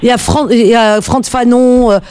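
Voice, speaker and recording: A woman's voice: a little high, fairly even intonation, moderate pace, a little mellow, smooth. A woman hosting a live radio call-in, speaking in an earnest, emphatic way and repeating phrases for emphasis.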